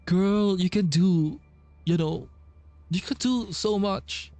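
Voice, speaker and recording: A man's voice: high voice, slow pace, darkish tone, no room sound, soft background music. A man giving casual, slangy gameplay reaction commentary in trailing half-sentences. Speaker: gaming video creator.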